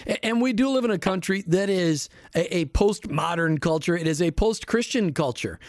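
A man's voice: high-pitched, animated, normal speed, no room sound. A male radio host in a studio, chatting with enthusiasm and stumbling over his starts. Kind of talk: casual radio talk.

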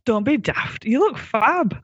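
A man voicing a brisk, upbeat woman time traveller in a high, strained falsetto, read as a comic story. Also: expressive, fairly bright tone, breathy edge, dry recording, muffled recording, exaggerated voice. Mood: reassuring.

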